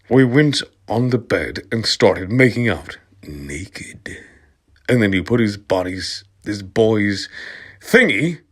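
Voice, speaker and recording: Low-voiced man, theatrical and sardonic reader. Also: slightly rough. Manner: sardonic, theatrical, swings between murmurs and loud outbursts. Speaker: reader of comic fan fiction. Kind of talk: dramatic comic read-aloud with sardonic asides.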